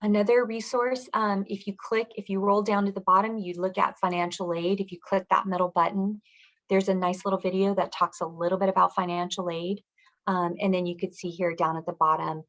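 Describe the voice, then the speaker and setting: Slightly low-voiced woman giving an informational walkthrough. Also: creaky, fairly bright tone, low-quality recording. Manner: explanatory, instructional. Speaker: school district college and career readiness director, presenter. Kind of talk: informational presentation walking through web pages.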